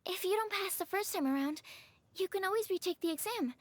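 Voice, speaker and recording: High-voiced, encouraging young alchemist. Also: female voice, fairly quick, no room sound. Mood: reassuring. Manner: encouraging, clear explanatory delivery. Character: helpful, polite young female alchemist examiner. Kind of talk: video game voice acting.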